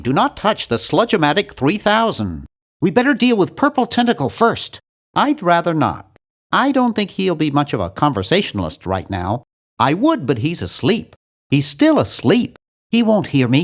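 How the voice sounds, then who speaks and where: Nerdy, excitable young game hero. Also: male voice, on the high side. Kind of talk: video game character voice lines.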